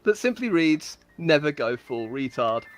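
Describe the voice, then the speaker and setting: A woman's voice: low voice, big pitch swings, measured pace. A woman storyteller giving a dramatic, mock-epic reading of comic fantasy stories, with amused asides. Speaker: storyteller on a game server.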